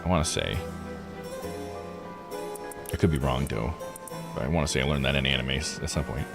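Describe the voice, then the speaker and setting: A man's voice: a bass voice, fairly quick, creaky, over music. A tired man streaming a game live, reading its text aloud with casual asides and correcting himself on tricky names. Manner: stumbles over foreign names and self-corrects.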